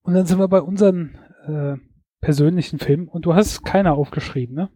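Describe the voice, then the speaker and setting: Male podcast co-host, mellow tone, dry studio sound, opinionated chatter with fillers. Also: a little high, fairly quick, breathy edge, no echo. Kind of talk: casual chat about films.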